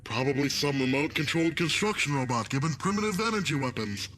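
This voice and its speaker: Evasive, slightly high man as an alien official. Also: expressive, fast, fairly bright tone. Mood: dismissive. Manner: dismissive, hedging. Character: dismissive, evasive male alien intelligence official. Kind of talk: science fiction audio drama acting.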